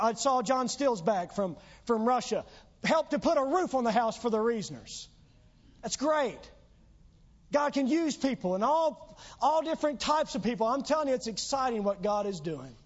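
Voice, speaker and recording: A pastor preaching a sermon in church, losing his voice, with earnest, emphatic exhortations. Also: high-pitched. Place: church sanctuary. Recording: dull lo-fi church recording.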